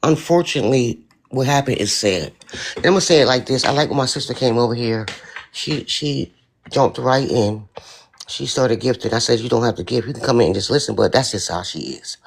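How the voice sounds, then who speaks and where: Deep, raspy-voiced woman, gravelly. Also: brisk, a little hiss or hum. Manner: blunt, talks in long stories. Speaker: panelist on a live stream. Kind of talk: casual panel discussion.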